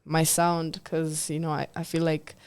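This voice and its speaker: A woman's voice: low voice, measured pace, clear, resonant tone. A young woman from Kenya, a singer, chatting casually as an interview guest and laughing often between her words. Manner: relaxed, laughs often, fills with "like" and "um".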